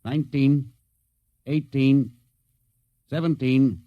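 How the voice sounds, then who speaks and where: Stern, short-fused rocket captain played by a male actor, medium pitch, clipped orders, vintage radio drama. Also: fairly even intonation, slow, muffled recording, lightly acted. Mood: brisk command. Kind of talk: 1950s radio drama acting.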